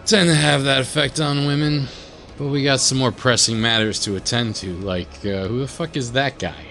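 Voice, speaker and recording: A man's voice: mid-range voice, fairly even intonation, moderate pace, fairly bright tone, close to an everyday voice. A man playing a cynical, sarcastic, foul-mouthed reluctant hero in a horror audio drama, blunt and exasperated. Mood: sardonic.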